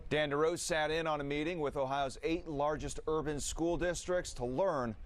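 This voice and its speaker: A man's voice: on the high side, steady tone, moderate pace, a little hiss or hum. A man with an American accent presenting broadcast news as an anchor.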